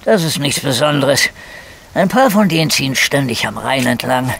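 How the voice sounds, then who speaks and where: An actor playing a grumpy, cynical middle-aged police detective with flu in a radio crime drama, blunt and colloquial. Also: male voice, mid-range voice, lively intonation, normal speed, creaky, noisy background. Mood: cynical, matter-of-fact. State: coughing with a cold.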